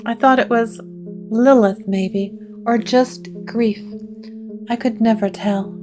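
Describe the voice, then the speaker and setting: A woman's voice: on the high side, steady tone, slow pace. A woman voicing an ancient, haunted woman who speaks in a fragile, faltering way. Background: guitar music.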